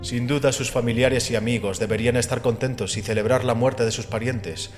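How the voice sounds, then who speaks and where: A man's voice: mid-range voice, fairly even intonation, brisk, big-room sound, lightly acted. A man voicing an imposing male skeptic who challenges and taunts in an audio story. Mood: mocking challenge. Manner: probing, taunting rhetorical questions. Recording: music under the voice.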